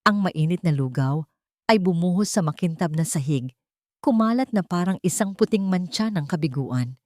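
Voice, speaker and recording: A woman narrates a dramatic story in the first person. Her voice sits a little low, and the delivery is scripted. The sound is dry, with no room echo. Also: lively intonation, moderate pace, darkish tone.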